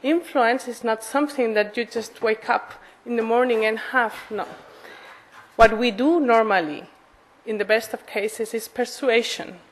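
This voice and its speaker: Higher-pitched Spanish-accented woman giving formal speech. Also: a little slow, slight background noise, poor audio. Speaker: association president, lobbyist speaker. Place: conference in a parliament hall.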